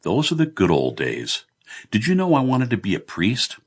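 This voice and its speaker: A man's voice: medium pitch, huge pitch swings, normal speed, breathy edge, voice pushed up. A man voicing a good-humoured, blunt middle-aged Irish businessman in an audiobook, breezy, teasing and confiding.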